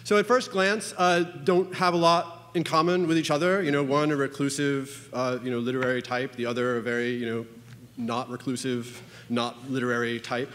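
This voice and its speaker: Halting, wry man giving a talk in a hall. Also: mid-range voice, little pitch movement, a bit bright. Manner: halting, full of "uh" and "you know", wry asides. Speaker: conference speaker. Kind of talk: informal conference talk. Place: conference hall. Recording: long reverb of a lecture hall.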